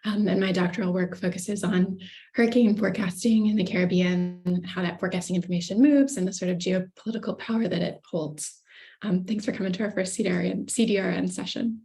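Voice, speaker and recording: A woman's voice: fairly low pitch, fairly quick, vocal fry, warm tone. A woman PhD student with a cold, introducing herself on a video call. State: has a cold.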